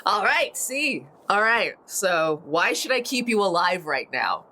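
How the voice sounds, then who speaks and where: A woman playing a tabletop roleplaying game, chatting casually and playfully thinking her moves out loud. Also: mid-range voice, very animated, a little slow.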